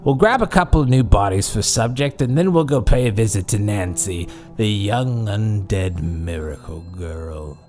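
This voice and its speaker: Casting: pompous, obsessed mad scientist for horror audio drama, highly animated delivery, music underneath. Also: male voice, unhurried. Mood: gleeful. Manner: pompous, florid lecturing. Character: grandiose mad scientist doctor, obsessed and theatrical.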